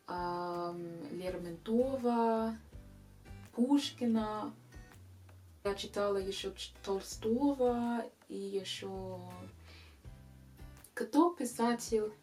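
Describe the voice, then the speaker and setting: A native English-speaking woman in her twenties, with an English accent, answers viewer questions in an unhurried, careful vlog voice. Her pitch sits in the middle range, and she pauses often as she searches for words. Quiet guitar music plays underneath. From England. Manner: slow, careful learner's speech with frequent hesitations. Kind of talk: casual Q&A vlog.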